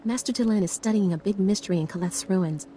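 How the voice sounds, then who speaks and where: An actress playing a starstruck young Jedi novice in a video game, chatty and informal. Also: female voice, medium pitch, quick pace, warm tone, slight background noise, natural voice. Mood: eager. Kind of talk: scripted video game acting.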